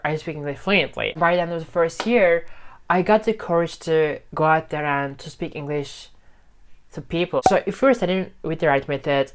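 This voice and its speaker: A woman from Turkey whose first language is Turkish speaks English with a Turkish accent, talking straight to her viewers in an earnest, encouraging way. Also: low voice. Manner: earnest, encouraging, repeats "okay?" and "you know". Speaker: English-learning video creator. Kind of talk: casual talk to camera.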